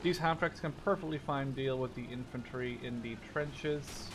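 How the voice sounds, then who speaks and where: A man's voice: mid-range voice, normal speed, background noise. A man in his twenties from the Netherlands giving casual, rambling let's-play commentary, thinking aloud and swearing offhandedly. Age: 20s. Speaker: gaming YouTuber.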